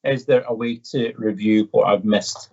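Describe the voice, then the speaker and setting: A man's voice: on the low side, steady tone, normal speed, darkish tone, slightly rough. A man with an Edinburgh Scottish accent presenting a casual live question-and-answer session, polite and conversational with a hesitant delivery. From Edinburgh, Scotland. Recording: dull, slightly muffled audio.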